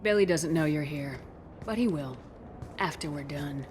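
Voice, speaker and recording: A woman's voice: deep voice, normal speed, darkish tone, slight background noise, close to an everyday voice. A woman playing a tough, commanding military officer in a video game, speaking in a terse, firm and direct way. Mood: coolly threatening.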